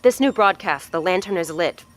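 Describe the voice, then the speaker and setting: A woman's voice: on the low side, animated, normal speed, faint music behind, lightly acted. Blunt, swearing woman playing a lab chief. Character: blunt, foul-mouthed woman heading a research lab. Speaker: facility head. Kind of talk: audio drama acting.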